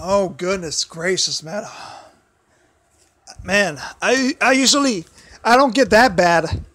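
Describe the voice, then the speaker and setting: A man hosting a casual livestream, his voice tired and strained, apologizing often, stumbling over repeated words and pushing his voice louder. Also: sits high, slow pace, no room sound. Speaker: livestream host. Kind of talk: casual wrestling commentary livestream. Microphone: built-in device mic, no external microphone.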